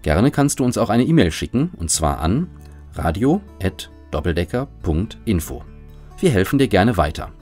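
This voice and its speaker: A man hosts a children's radio program in a scripted, direct address. His voice is fairly low and very animated, with faint music underneath. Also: moderate pace. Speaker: radio host. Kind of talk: scripted address to young listeners.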